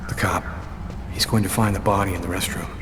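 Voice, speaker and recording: An actor playing an anxious, furtive male fugitive in a video game, thinking to himself in a hushed inner monologue. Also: low-pitched, steady tone, fairly quick, natural voice.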